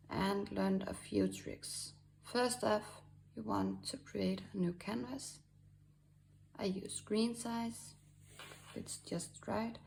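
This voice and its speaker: Soft-spoken Danish woman, slow and gravelly. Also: medium pitch, a little hiss or hum. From Denmark. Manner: halting, many fillers, soft asides. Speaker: art tutorial host. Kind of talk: screen-recorded drawing tutorial.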